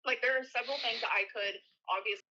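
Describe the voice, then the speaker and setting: Sharp, fast-talking woman on a dull call. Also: on the high side, fairly even intonation. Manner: blunt, swearing. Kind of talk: confrontational casual phone conversation. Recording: dull lo-fi phone call recording.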